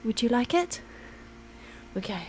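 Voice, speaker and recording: A woman doing an ASMR roleplay as a reassuring, attentive air hostess, speaking softly and soothingly, sometimes in a whisper. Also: a little high, very animated, measured pace, noisy background. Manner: soft-spoken, soothing, partly whispered.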